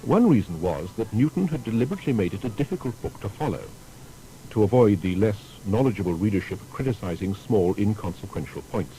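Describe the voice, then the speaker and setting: A man's voice: on the low side, fairly quick, soft background music, poor audio. A man narrating a scripted historical biography in a formal, storytelling manner. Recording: steady faint background noise.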